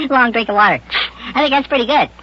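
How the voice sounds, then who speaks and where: A man voicing an eager, mischievous, boastful little boy in a children's radio serial, with a high, raspy, sped-up voice. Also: sing-song, a little slow, heavily acted. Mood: playful, teasing. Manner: chattering, boastful, plays at being important. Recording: dull lo-fi old radio recording.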